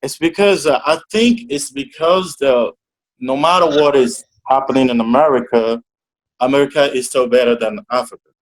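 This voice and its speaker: A young man from Togo talks through his answers on a video call. He hesitates and restarts often, and his pitch sits at an ordinary middle level. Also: moderate pace, poor audio. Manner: hesitant, many restarts and fillers. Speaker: interview guest. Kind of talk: casual interview answers.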